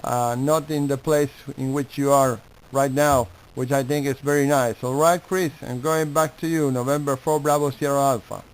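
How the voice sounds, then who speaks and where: A man with an Argentine Spanish accent chatting on ham radio in polite, halting non-native English. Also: mid-range voice, steady tone, low-quality recording. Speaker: amateur radio operator. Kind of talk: casual ham radio chat.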